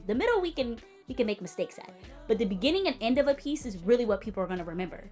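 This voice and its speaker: A young woman with a light, fairly high voice talks straight to camera as a music educator. She is emphatic and animated, leaning hard on key words and handing out direct advice, with faint music underneath. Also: very fast. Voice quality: light-sounding voice. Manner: direct, teacherly advice to the viewer. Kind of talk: casual commentary and performance critique.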